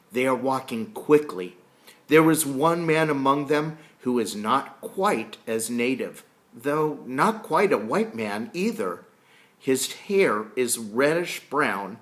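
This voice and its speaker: A man reads a historical story aloud at a very unhurried pace. His delivery is deliberate, in a medium-pitched voice, like someone guiding listeners through a book. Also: a bit bright. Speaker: reader reading a book chapter aloud.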